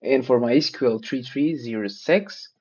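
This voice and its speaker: A man's voice: medium pitch, takes it slowly, a little mellow, husky, dull audio. A man for whom English is not a first language, taking notes aloud in a casual study vlog, hesitant and restarting as he searches for words. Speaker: learner sharing notes.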